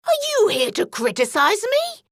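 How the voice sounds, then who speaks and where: A woman voicing a sly male wolf who imitates an old granny in a drawn-out, testy falsetto for a children's story. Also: huge pitch swings, fairly bright tone, dry recording, clean studio sound, full character voice. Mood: offended, angry.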